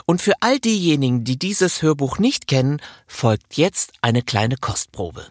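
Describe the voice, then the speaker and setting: A man's voice: mid-range voice, sing-song, unhurried, no room sound, studio quality. A man hosting a podcast, addressing listeners directly in an informal, chatty scripted style. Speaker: podcast host.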